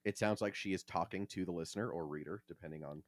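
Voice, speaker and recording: A man's voice: sits low, fast talker, dry recording. A man hosting a podcast in a casual, conversational style. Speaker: podcast host.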